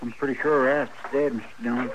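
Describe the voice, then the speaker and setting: A folksy American deputy played by a man in an old radio western, mid-pitched and earnest. He is plain-spoken and easily astonished, heard over faint recording noise. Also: fairly even intonation, fairly quick, lightly acted. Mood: impressed. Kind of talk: 1950s American radio drama acting.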